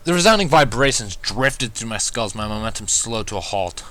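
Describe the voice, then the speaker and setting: A man narrates a story in the first person, reading from a script. His voice sits on the low side, with a bright, forceful edge. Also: animated, a little fast, no echo. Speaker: fan fiction reader.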